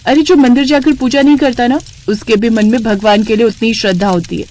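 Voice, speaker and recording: A woman's voice: on the high side, fairly even intonation, brisk, darkish tone, slight background noise, lightly acted. A woman voicing an outspoken, fed-up young daughter-in-law in a cartoon family story. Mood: indignant. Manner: indignant, talks back.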